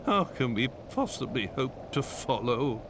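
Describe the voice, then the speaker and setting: An actor playing a wry, theatrical gentleman adventurer in a video game, wordy and droll. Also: mid-range voice, very animated, a little slow, slight background noise. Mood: exasperated. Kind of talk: video game cutscene acting.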